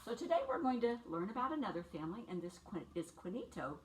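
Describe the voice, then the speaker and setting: Older woman reading to children, animated intonation. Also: medium pitch. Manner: simple, deliberate phrasing with questions to young listeners. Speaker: children's storytime teacher reading a picture book aloud. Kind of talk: read-aloud storytime for young children. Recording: distant mic with faint background noise.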